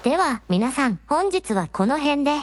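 A woman's voice: high voice, very animated, moderate pace, a little hiss or hum. A synthetic woman's voice playing a gracious, courteous princess with formal, ladylike speech in a radio drama.

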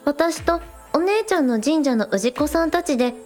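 A woman's voice: high-pitched, animated, normal speed, a little mellow, faint music behind. A woman voicing a spirited, earnest young shrine maiden and village idol in a radio drama.

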